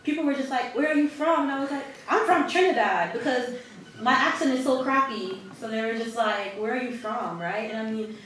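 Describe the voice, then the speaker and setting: A woman's voice: on the high side, a bit bright, slight background noise. Woman speaking from far in an echoey hall. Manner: thoughtful, halting, casual. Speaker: panelist. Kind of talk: panel discussion.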